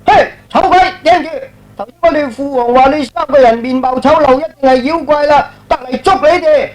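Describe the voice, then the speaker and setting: A man voicing a brash, excitable young prince in a Cantonese radio drama, cocky and eager. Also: extremely high pitch, a little fast, pitched above the performer's own voice, low-quality recording. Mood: cocky, challenging.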